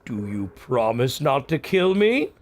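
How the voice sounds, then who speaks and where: A man's voice: mid-range voice, big pitch swings, measured pace. A man playing a cheery, naive oddball in an audio drama, exuberant and theatrical. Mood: cheerful.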